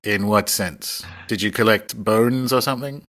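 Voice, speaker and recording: A man's voice: on the low side, moderate pace, a bit bright. A man hosting a podcast, speaking as presenter and interviewer. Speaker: podcast host. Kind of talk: scripted podcast introduction, then casual interview. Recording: recorded in a pub, cleaned up.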